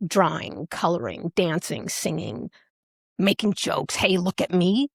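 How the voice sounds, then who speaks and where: A woman with an American accent, speaking casually as an interview guest, animated and playful as she tells her stories. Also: on the low side, unhurried, gravelly, slightly rough, dry recording, studio quality. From Alaska, United States. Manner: animated, playful, acts out remembered remarks. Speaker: singer, podcast guest.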